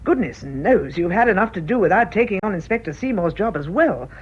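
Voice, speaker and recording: A British actress playing a clergyman's forthright, protective wife in a radio drama, sharp-tongued but caring. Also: mid-range voice, animated, fairly quick, husky. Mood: diplomatic, determined. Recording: muffled, dull radio drama recording.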